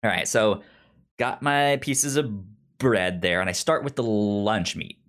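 A man's voice: lowish voice, lively intonation, measured pace, fairly bright tone, clear, resonant tone. A man co-hosting a casual chat show, with a stutter that repeats syllables and sounds as he talks. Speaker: podcast co-host.

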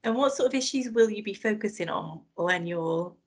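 A woman's voice: mid-range voice, animated, moderate pace. A woman hosting a podcast, interviewing a guest and affirming her answers in an encouraging way. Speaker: podcast host.

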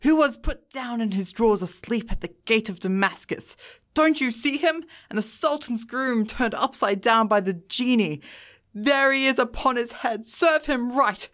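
An old man from a Victorian storybook, acted out by a woman, pitched on the high side. He exclaims with great earnestness and childlike feeling, always close to both laughter and tears. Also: lively intonation, normal speed, a bit bright. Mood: excited delight. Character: old man Scrooge, overexcited, tearful, boyishly delighted. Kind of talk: audiobook character voice, Victorian storybook.

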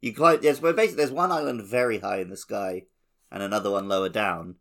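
A man's voice: on the low side, fast, creaky, fairly bright tone. A man co-hosting a video game podcast in an informal, slangy, conversational way. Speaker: podcast co-host. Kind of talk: casual video game chat.

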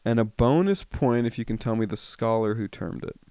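A man hosting a casual podcast quiz, reading questions slowly and teasing the players. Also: fairly low pitch, animated, darkish tone, clear, resonant tone, dry recording. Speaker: quiz host.

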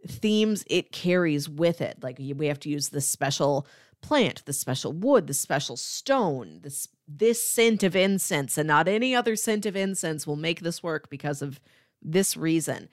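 Female co-host, casual book chat. Slightly low register, explanatory manner, dry sound without room echo. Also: expressive, a little slow. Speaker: podcast co-host.

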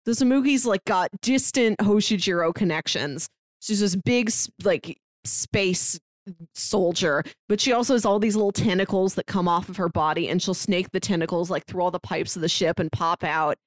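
A woman chatting casually as a podcast co-host, retelling a story in an animated way and acting out quotes. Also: lowish voice, moderate pace, no room sound. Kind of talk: casual chat recapping a manga plot.

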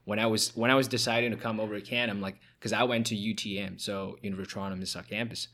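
A man's voice: fairly low pitch, steady tone, very fast, a bit bright. A young man from China, a podcast guest and founder, chatting casually with many fillers and restarts. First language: Chinese. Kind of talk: casual interview chat.

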